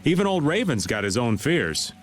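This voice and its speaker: A man's voice: mid-range voice, big pitch swings, normal speed, soft background music, natural voice. A man playing a teasing, easygoing middle-aged adventurer in a video game, with a folksy, playful drawl. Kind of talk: video game dub acting.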